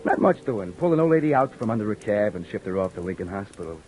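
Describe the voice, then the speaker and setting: An actor playing a weary beat cop who narrates and talks with dry wisecracks, in an old radio drama. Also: male voice, fairly low pitch, sing-song, fast, darkish tone, faint music behind, low-quality recording. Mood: narrating. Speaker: narrator and lead. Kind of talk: 1950s radio drama acting. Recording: old radio recording with faint hiss.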